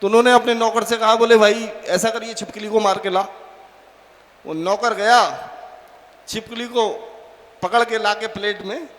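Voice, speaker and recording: A man's voice: high-pitched, fairly even intonation, moderate pace, long pauses, fairly bright tone. A man from Bijnor hosting a poetry gathering on stage, telling a joke full of quoted voices. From Bijnor, India. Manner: storytelling with many quoted voices. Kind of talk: stage anchoring with a told joke. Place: large auditorium. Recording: long hall reverb.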